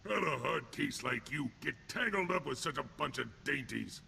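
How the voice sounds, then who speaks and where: A sneering alien thug from a video game, with a heavily growling, rasping voice and a sharp, hard edge. He talks in blunt, crude street slang like a hardened enforcer fresh out of prison. Faint noise sits behind him. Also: medium pitch, lively intonation, moderate pace, exaggerated voice. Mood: taunting. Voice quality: gravelly, rough. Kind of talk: video game character acting.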